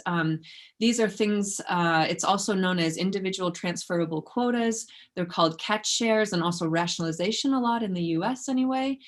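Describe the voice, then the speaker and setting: A woman with a slightly low voice gives an academic talk as a researcher. She keeps it informal and conversational, with a self-effacing touch. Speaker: researcher giving a lecture. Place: indoors in an office, online presentation.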